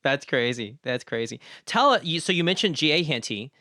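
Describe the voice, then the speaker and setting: A man's voice: medium pitch, animated, normal speed, bright, forceful tone, no echo, clean studio sound. A man hosting a podcast interview, asking questions and reacting conversationally.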